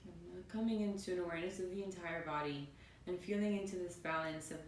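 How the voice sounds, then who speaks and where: A woman yoga teacher leading a guided meditation in a soothing manner, with unhurried phrases and pauses. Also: steady tone. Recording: roomy, distant mic, faint noisy rumble.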